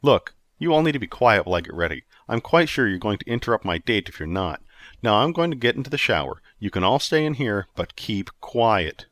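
A man's voice: on the low side, very animated, brisk, a bit bright, no echo. A man reading the part of an impatient, sarcastic young man who wants to get ready for a date. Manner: dry, impatient.

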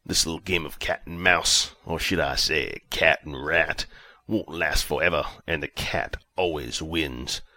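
An actor playing a vengeful, self-important ship commander in an audio story, imperious and menacing. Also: male voice, rumbling low, unhurried, bright, forceful tone, no echo, exaggerated voice. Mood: gloating menace. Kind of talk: audio story character acting.

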